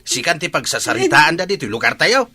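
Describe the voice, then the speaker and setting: A man's voice: on the high side, huge pitch swings, brisk, sharp tone, husky, exaggerated voice. A man with a Filipino accent acting a hot-tempered, scolding father in a radio drama. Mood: furious, shaming. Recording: music under the voice.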